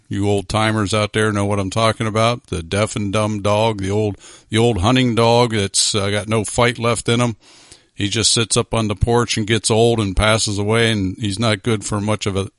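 Low-voiced pastor hosting a radio show. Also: male voice, flat delivery, a bit bright, no room sound, clean studio sound. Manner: measured, with many filler pauses. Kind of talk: internet radio talk show.

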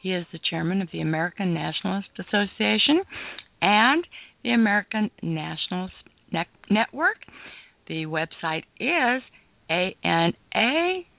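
A woman hosting a talk radio show, reading her opening and contact details in a measured, deliberate way, then chatting with her guest. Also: fairly low pitch, vocal fry, no room sound. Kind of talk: scripted show opening, then casual chat. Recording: phone-like narrow band.

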